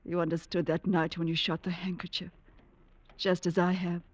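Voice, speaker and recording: An actress playing a brooding, anguished woman in a 1940s radio drama, with intense, deliberate delivery. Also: lowish voice, level tone, no echo, lightly acted. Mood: bitter, brooding. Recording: dull, dry old radio recording.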